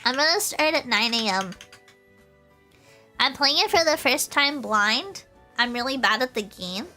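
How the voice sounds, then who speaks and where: A woman with a very high, bright voice chats casually to her livestream audience. She is bubbly and giggly, tending to repeat little phrases as she goes. Soft music plays faintly behind her. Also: lively intonation, measured pace. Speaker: VTuber livestreamer. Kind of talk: casual chatty livestream, talking to chat.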